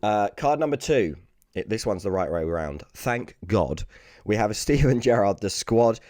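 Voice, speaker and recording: A British man talks casually as the host of an unboxing video. His voice is low, hoarse and very raspy, as if lost from shouting, and it moves up and down a lot. The sound is close and dry. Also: lively intonation, moderate pace, no room sound. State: hoarse, lost voice from screaming the night before. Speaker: sports card video host.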